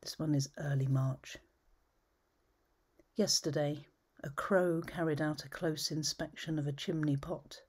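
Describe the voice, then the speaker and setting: A woman reading her own poems at home, measured and thoughtful, often trailing into a whisper. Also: deep voice, steady tone, darkish tone, husky. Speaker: poet. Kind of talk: poetry reading. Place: home study with bookshelves, indoors.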